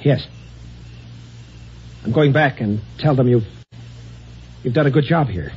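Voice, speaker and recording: A man's voice: medium pitch, measured pace, slight background noise, natural voice. An actor playing a nervous man who stumbles hesitantly over his words in a 1950s radio drama, on a dull old broadcast recording. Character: nervous, fearful man saying goodbye.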